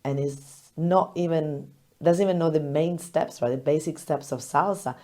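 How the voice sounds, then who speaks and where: A hoarse woman with a crackly, very low voice talks to her listeners in a loose, expressive monologue. Her tone is dark and mellow, and she laughs often between thoughts. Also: normal speed. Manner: chatty and expressive, laughs often, animated retellings. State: hoarse, recovering lost voice. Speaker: business podcast host. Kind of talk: casual solo podcast talk.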